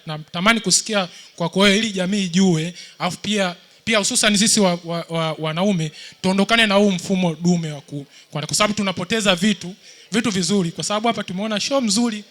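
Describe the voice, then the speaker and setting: A man's voice: high voice, a bit bright. A man in the audience putting a question to a panel at a live event. He talks at length, repeating himself and restarting his sentences. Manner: long-winded and repetitive, with many restarts. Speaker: audience member asking a question.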